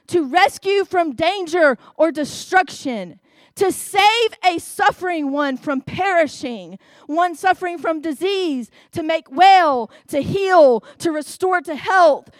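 A woman with a Southern US accent preaching a sermon, stressing her words slowly and with emphasis. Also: very high voice, no echo, clean studio sound.

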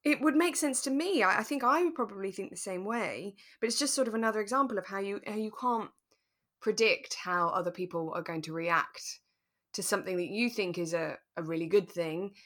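Woman podcast host, really bright crisp-edged voice, chatty, thinking things through as she goes. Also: medium pitch, fairly quick. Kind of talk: relaxed radio drama discussion chat.

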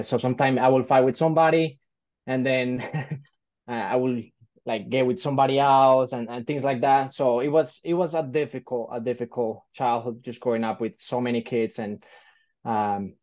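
A man in his late twenties from Colombia, a podcast guest telling his life story, pausing often with fillers and restarts over a remote call. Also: medium pitch, darkish tone, lo-fi sound. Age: late 20s. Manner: hesitant, many fillers and restarts. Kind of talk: casual storytelling interview.

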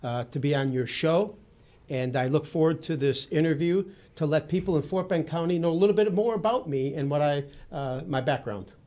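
A man in his fifties from Wisconsin with a Midwestern accent, speaking as a guest in a radio studio interview in a steady, earnest, formal way. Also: a little high, moderate pace. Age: 50s. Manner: steady, earnest and formal, addresses the host by name often.

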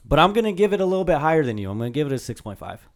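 A young man hosting a casual music podcast with a slightly hoarse voice. He talks in a laid-back, easygoing way, wrapping up with friendly thanks to listeners. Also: fairly high pitch, sing-song, racing. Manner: laid-back, laughs easily. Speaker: podcast host. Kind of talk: casual album review chat.

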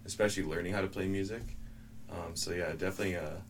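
Deep gravelly male band member, hesitant, distant mic, noisy room. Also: steady tone, fast talker. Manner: hesitant, filler-heavy. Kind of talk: casual interview answers. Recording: distant mic with background noise.

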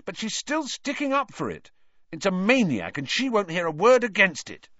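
Storybook schoolboy played by a man: hot-headed, blunt, stubborn, raised pitch that jumps around, slightly dull recording. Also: wildly swooping pitch, a little fast, a bit bright, husky, no room sound, exaggerated voice. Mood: indignant. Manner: blunt, emphatic.

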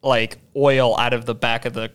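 A young man chatting on a podcast, his voice clear and smooth. He rambles through a story full of fillers and restarts, and he talks in a loose, joking way. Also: mid-range voice, level tone, moderate pace, fairly bright tone. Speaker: podcast co-host. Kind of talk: casual chat. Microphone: podcast mic held in hand.

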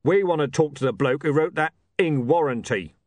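A man voicing a menacing, foul-mouthed male thug with a slow, heavy, threatening delivery. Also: fairly high pitch, a little mellow, no room sound, voice pushed up, lightly acted.

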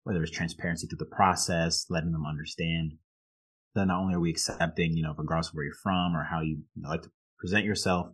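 Podcast interviewer: man, deep and slightly rough voice, wordy, casual questioning style. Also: fairly even intonation, quick pace. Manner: wordy, rephrasing questions as he goes. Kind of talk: conversational interviewing.

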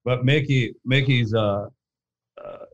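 A man who leads a gun company, telling his story in a casual interview with a deep, hollow-sounding voice and a rambling way of talking full of restarts. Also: slow pace, no room sound, lo-fi sound.

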